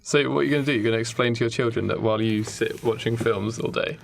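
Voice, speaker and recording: A man's voice: mid-range voice, quick pace, vocal fry, slight background noise. A man co-hosting a podcast in casual banter, improvising his way through hesitantly. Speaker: podcast co-host.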